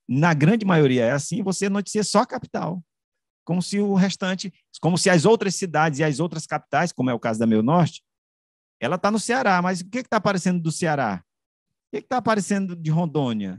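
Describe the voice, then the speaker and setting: Higher-pitched man explaining. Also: no echo, clean studio sound. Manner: halting, repeats phrases and rephrases, rhetorical questions. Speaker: media researcher on a panel. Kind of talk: explanatory academic discussion. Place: room with bookshelves, on a video call. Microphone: large microphone close to the mouth.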